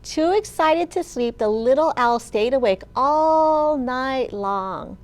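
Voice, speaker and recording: Woman, children's storytime host. High, very slow, lively sing-song read-aloud with pauses for little listeners. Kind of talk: expressive read-aloud of a picture book to young children.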